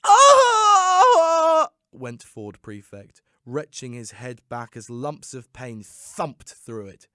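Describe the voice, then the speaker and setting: Male audiobook narrator: mid-pitched, lively and theatrical, recorded dry. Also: slow pace, few pauses, no echo. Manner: theatrical, expressive storytelling. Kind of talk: dramatic scripted audiobook narration.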